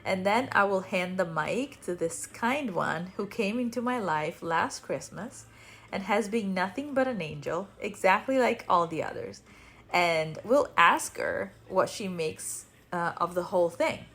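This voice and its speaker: A woman's voice: fairly low pitch, expressive, unhurried, fairly bright tone. A woman with an Italian accent, a native Italian speaker, hosting a podcast with casual personal storytelling in a chatty, self-deprecating manner. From Italy. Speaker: podcast host.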